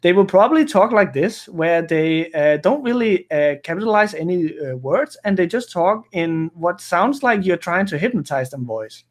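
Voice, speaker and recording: A young man speaks English with a Danish accent in casual, easygoing conversation. His voice sits high for a man. He laughs often and stumbles into repeated false starts. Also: very animated, moderate pace, few pauses. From Denmark. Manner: laughs often, stammering restarts.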